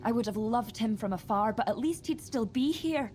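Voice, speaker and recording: A woman voicing a grieving widow in a fantasy role-playing game, sharp-tongued yet sorrowful. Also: on the high side, expressive, normal speed, faint music behind, natural voice. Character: grieving, bitter widowed tribeswoman. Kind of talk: video game voice acting.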